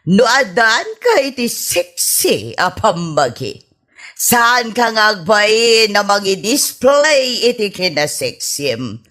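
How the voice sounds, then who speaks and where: Vain, teasing grandmother, radio comedy; hugely animated pitch, very slow, exaggerated delivery. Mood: boastful, teasing. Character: vain flirtatious grandmother in-law who flaunts her figure. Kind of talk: Ilocano radio comedy drama acting.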